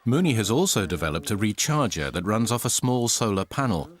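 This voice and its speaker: A man's voice: fairly low pitch, big pitch swings, brisk. A man reading scripted documentary narration.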